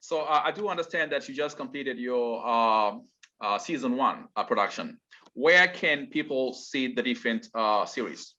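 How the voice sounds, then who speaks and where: A man hosts the interview, posing his questions slowly with small "uh" pauses. His voice is bright and forceful, mid-pitched, and the audio sounds somewhat muffled. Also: animated. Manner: measured, halting questions with "uh" pauses. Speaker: interviewer host.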